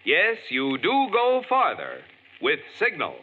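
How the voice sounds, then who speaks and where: A man's voice: on the high side, huge pitch swings, slow, very noisy. A man announcing a 1940s radio show in a polished, emphatic announcer cadence. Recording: muffled vintage radio broadcast with music.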